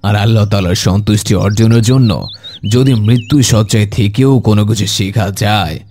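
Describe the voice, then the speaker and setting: Man acting a deep-voiced, kindly old grandfather. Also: darkish tone, a little hiss or hum, natural voice. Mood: gently admonishing. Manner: instructive, measured. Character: kindly, pious, gently admonishing elderly grandfather. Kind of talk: Islamic moral cartoon dialogue.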